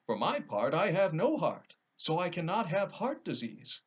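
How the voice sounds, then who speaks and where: A man voicing an earnest, wistful tin woodman in a storybook, reasoning in a measured manner. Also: on the high side, wildly swooping pitch, breathy edge, dull audio, voice pushed up, full character voice. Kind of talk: storybook character voice.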